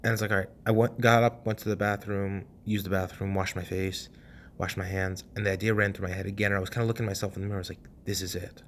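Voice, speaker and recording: A young man in his twenties, hosting a podcast and telling a personal story in a casual, animated way, cursing freely and dropping at times to a hush. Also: low voice, quick pace, slight background noise. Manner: animated, swears casually, swings from loud to hushed. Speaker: podcast host.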